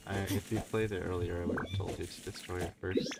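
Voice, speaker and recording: A man's voice: low voice, steady tone, fast, creaky. A man co-streaming a video game with casual, offhand, jokey chat. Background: loud game music.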